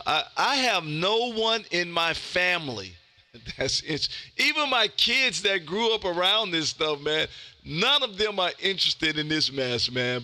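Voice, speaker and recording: A man's voice: on the high side, bright, forceful tone. A man hosting a live amateur radio stream, chatting casually in an effusive, folksy way and peppering his talk with "man". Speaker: amateur radio livestream host. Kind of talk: casual radio chat with listeners.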